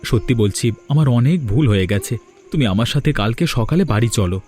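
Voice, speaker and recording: A man voices a devoted young husband in a family cartoon. His mid-pitched delivery is earnest and coaxing, with lots of movement in the pitch. Soft music sits underneath. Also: lively intonation, quick pace, close to an everyday voice. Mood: pleading. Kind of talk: Bengali cartoon voice acting.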